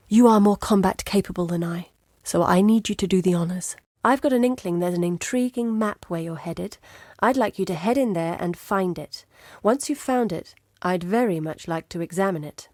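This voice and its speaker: Woman playing female game quest-giver, scholar-adventurer, determined, measured, articulate, businesslike, medium pitch, dry studio sound. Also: darkish tone, no room sound, close to an everyday voice. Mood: grave, urgent, then businesslike, inviting. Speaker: quest-giver character in an action RPG. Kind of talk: scripted game dialogue, briefing.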